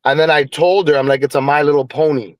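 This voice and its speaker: A man from Trinidad with a Trinidadian accent, a guest telling a lively story with Caribbean phrasing in a casual interview. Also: on the high side, fast, dry recording. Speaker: guest musician.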